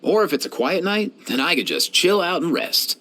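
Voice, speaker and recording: A lively, easygoing young animal doctor. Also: male voice, a little high, moderate pace, exaggerated voice. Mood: easygoing, caring. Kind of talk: scripted video game character voice lines.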